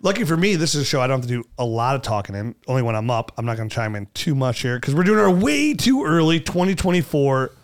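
Relaxed male podcast host with a cold. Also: medium pitch, fast, few pauses. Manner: easygoing, rambling, joking. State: sick with a cold. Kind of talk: casual podcast chat.

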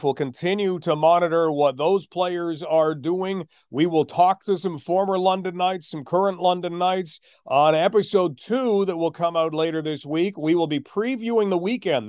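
A man with a slightly high voice hosts a sports podcast in a dry, close-sounding recording. He speaks like a play-by-play announcer telling a story, measured and leaning on key words. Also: darkish tone, smooth, no echo. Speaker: podcast host and hockey radio play-by-play announcer.

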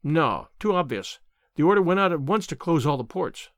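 Man acting a cocky, brisk little police inspector. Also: on the high side, animated, fairly bright tone, no echo, studio quality. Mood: dismissive, insistent. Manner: brisk, informal, opinionated. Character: cheerful, cocky, ferret-faced little male police inspector.

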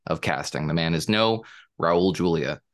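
A man chatting casually about television shows, pausing often with fillers and restarts. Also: low-pitched. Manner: hesitant, many fillers and restarts. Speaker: panelist. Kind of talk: casual podcast chat about TV.

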